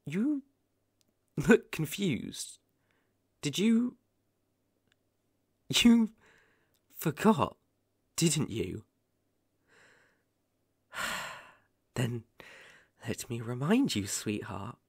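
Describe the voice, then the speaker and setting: Man acting slow, creaky-voiced possessive yandere boyfriend. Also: fairly high pitch, expressive, no echo, clean studio sound, exaggerated voice. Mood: falsely sweet menace, then simmering jealousy. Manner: theatrical, slow, coaxing with sudden intense swells. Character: possessive yandere boyfriend, menacing yet sugary, unhinged. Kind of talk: ASMR roleplay acting. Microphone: close studio microphone.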